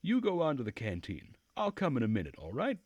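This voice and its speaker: Guy putting on a sweet helpful camp girl for a visual novel, voice pushed up high, polite and caring. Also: huge pitch swings, a little fast, a little mellow, no room sound. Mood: friendly, helpful. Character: friendly helpful young female camp leader's aide.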